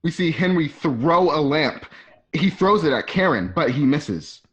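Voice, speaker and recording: A man's voice: fairly high pitch, animated, a little slow, fairly bright tone, slightly rough, dull audio. A man narrating scripted stage directions for a radio drama.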